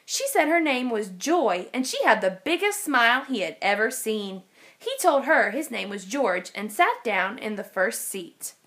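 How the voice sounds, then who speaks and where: A woman reading a children's picture book aloud in an expressive storytelling manner. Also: fairly high pitch, a little slow. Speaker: storybook reader.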